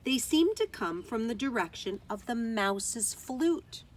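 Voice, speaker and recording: A woman's voice: fairly high pitch, expressive, takes it slowly. A woman teacher reading a children's story aloud outdoors, expressively, with dramatic emphasis on key words.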